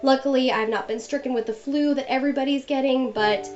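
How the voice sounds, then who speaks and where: Higher woman with a cold, music behind. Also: steady tone, brisk. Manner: chatty, friendly explaining. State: getting over a cold. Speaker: bullet journal vlogger. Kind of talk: casual voice-over narration. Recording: dull lo-fi voice-over with music behind.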